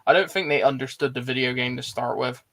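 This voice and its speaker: A man's voice: mid-range voice, fairly even intonation, fast, fairly bright tone. A man co-hosting a casual movie chat in an informal, blunt, sweary way. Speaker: film discussion show co-host.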